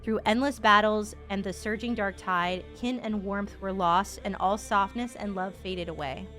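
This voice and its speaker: A woman's voice: medium pitch, fairly even intonation, normal speed, fairly bright tone, faint music behind, lightly acted. A woman voicing a grave, tender narrator, reading game text aloud in a theatrical, lingering way. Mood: grave, wistful. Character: solemn storybook narrator of fallen warriors, grave and tender.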